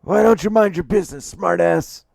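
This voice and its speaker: A man's voice: a little high, a little slow, vocal fry, crowd noise, voice pushed up. A man voicing a cranky, stubborn old semi-retired plumber in an audiobook, scolding and indignant. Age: older.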